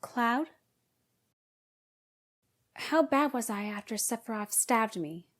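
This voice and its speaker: An earnest young heroine acted by a woman in a dramatic game dub. She sounds like a concerned comrade, and her voice sits a little high. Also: lively intonation, takes it slowly. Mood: serious. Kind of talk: dramatic fan dub acting.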